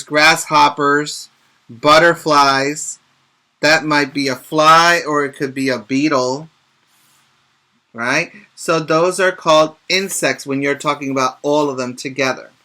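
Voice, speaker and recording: A man's voice: a little high, sharp tone. A male preschool teacher reading a picture book aloud and explaining letter sounds, asking the children questions as he goes. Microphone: home video recording for virtual class.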